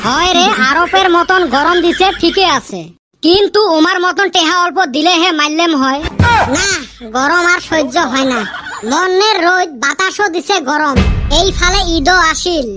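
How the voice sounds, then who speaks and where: Someone voicing a very high-pitched cheeky cartoon village boy. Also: unhurried, over loud music. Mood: grumbling. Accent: rural northern Bengali dialect. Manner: teasing, grumbling. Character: cartoon village boys bickering over Eid shopping, cheeky and broke. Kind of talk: comic cartoon dubbing in rural dialect. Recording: clearly noisy background with clicks.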